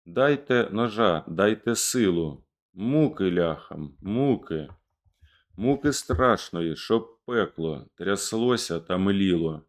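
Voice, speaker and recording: A man's voice: deep voice, lively intonation, takes it slowly. A man voicing a young vengeful orphan rebel. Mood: impassioned. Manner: impassioned, declamatory.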